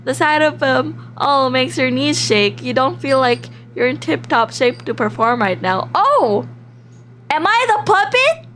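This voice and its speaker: A woman live streaming a game in a casual, excitable, giggly way, reading text aloud and reacting expressively as she goes. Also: sits high, a little slow, a little hiss or hum. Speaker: livestream host.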